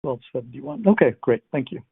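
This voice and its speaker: A man's voice: mid-range voice, expressive, a little fast, vocal fry, slightly rough, dry recording. A man asking questions as an analyst on an earnings call, informal and somewhat hesitant in phrasing. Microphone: telephone. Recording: dry, tinny phone line.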